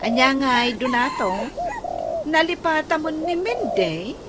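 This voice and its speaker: High-voiced, unhurried older sister. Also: female voice, very animated. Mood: teasing. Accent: Ilocano. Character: bossy, teasing older sister, adult daughter. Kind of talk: Ilocano radio comedy drama acting. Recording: loud farmyard ambience and background noise.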